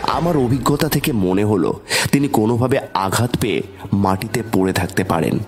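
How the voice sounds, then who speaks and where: A man's voice: on the low side, animated, normal speed, darkish tone, soft background music. A man giving a dramatic, suspenseful first-person narration of a scripted horror story.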